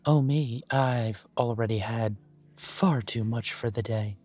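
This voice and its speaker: A man gives voice to a courteous elf who runs a small coffee shop. He speaks at an unhurried pace in a mid-range voice, formal and gently self-deprecating. Soft music plays quietly beneath his words. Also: medium pitch. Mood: gracious. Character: courteous elven male coffee shop owner. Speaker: shop owner interviewing a job applicant. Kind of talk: scripted one-sided roleplay audio.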